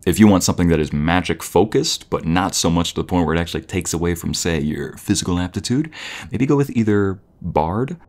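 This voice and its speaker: Very deep-voiced man, playful boyfriend roleplay. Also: brisk, darkish tone.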